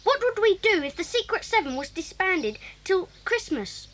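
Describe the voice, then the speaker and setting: A woman's voice: very high-pitched, big pitch swings, moderate pace, fairly bright tone, slight background noise, exaggerated voice. A woman voicing an eager young child in an 1980s audio drama, pleading and persuasive.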